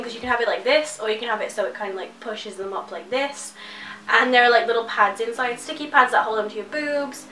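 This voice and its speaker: A woman's voice: on the high side, bright, forceful tone, echoey room, far from the mic, low-quality recording. A young woman chatting to camera about a clothing haul, nasal and congested from a cold, gushing and full of "like". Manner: chatty, gushing, many likes. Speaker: fashion vlogger. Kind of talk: casual haul chat to camera. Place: small bedroom, indoors.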